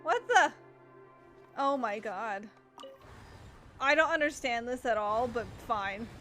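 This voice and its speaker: A woman with a high voice thinks aloud as she plays a game. Her commentary is casual and rambling, full of reactions, with faint music behind her. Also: animated, slow pace, sharp tone. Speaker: gamer streaming a playthrough.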